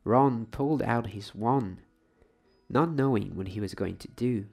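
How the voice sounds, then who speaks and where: A man reading a story aloud in a soft, hushed, breathy ASMR style, slipping at times into whispers. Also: mid-range voice, measured pace, faint music behind.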